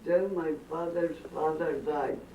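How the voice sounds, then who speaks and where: An elderly woman, slowly telling rambling family stories, drifting off topic and voicing blunt opinions. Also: sits low, fairly even intonation. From Bukovina, then Austria. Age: older. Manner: slow, halting, drifting off topic with strong opinions. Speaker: interviewee. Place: family home during a dinner gathering. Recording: roomy, distant, dull lo-fi home tape with faint hiss.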